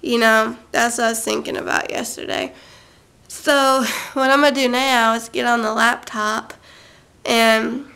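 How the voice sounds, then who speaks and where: A woman's voice: a little high, gravelly, a bit bright, smooth. A young woman vlogging to her camera in a rambling, casual monologue, going off on tangents with lots of fillers. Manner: chatty, goes off on tangents, many fillers. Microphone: camera mic.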